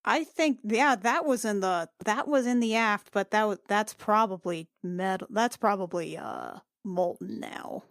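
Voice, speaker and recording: A woman's voice: medium pitch, measured pace, few pauses, no echo, natural voice. A woman playing an anxious, sardonic adventurer in a tabletop game, dry and easily flustered. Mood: worried, demanding.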